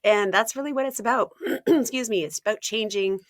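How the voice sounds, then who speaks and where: A woman, a health coach talking casually in an interview, often laughing, clearing her throat and using fillers. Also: fairly high pitch, fairly quick, no echo, studio quality. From Ontario, Canada.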